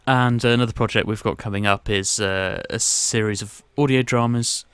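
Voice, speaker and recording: Smooth low-voiced man hosting a podcast. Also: steady tone, moderate pace, fairly bright tone, dry recording. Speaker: podcast host, theatre company member. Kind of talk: casual podcast discussion.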